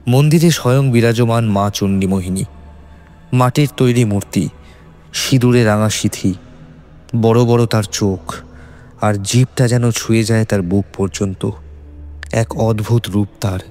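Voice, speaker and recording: A man with a Bengali accent narrates a horror tale in a fairly deep voice. His delivery is scripted, dramatic and suspenseful, with faint music underneath. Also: a little slow, long pauses, a little mellow.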